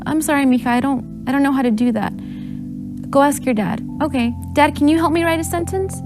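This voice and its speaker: A woman's voice: high voice, fairly quick. Woman telling childhood stories over music. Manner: recounts memories vividly, acting out quoted voices. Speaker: interviewee in a documentary video. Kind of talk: personal storytelling.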